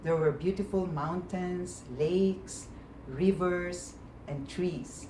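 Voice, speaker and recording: A woman from the Philippines tells a personal story in a calm, reminiscing way. Her voice sits fairly low, and the recording has a roomy echo with faint background noise. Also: slow. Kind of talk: personal story told to a group.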